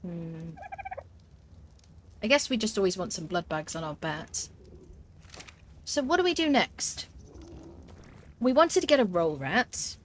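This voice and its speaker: A woman's voice: lowish voice, lively intonation, takes it slowly, long pauses, a little hiss or hum. A woman streaming a survival game, chatting as she plays, thinking aloud with fillers and answering her viewers' comments. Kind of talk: live gameplay commentary.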